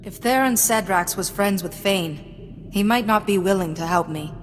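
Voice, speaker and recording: A woman's voice: medium pitch, moderate pace, slight background noise, close to an everyday voice. Cool young Jedi woman, acted, in reverb. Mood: cool, curious. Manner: dry, clipped, sardonic. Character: cool, dry, assertive young female Jedi. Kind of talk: cinematic video game dialogue. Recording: hall reverb, faint music behind.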